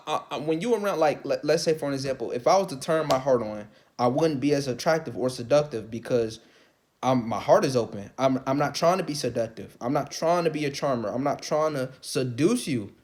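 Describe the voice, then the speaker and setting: Man vlogger, unscripted rant, profane and repetitive, mid-pitched, breaks into loud excited peaks. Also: a little fast. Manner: swearing, rambling, repeats himself, rises to loud excited outbursts; calls his own voice light. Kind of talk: casual rant to camera.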